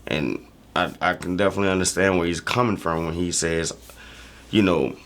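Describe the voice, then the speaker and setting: A man chatting casually and reflectively as a reaction show host, halting often as he searches for words. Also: low-pitched, fairly even intonation, fairly bright tone.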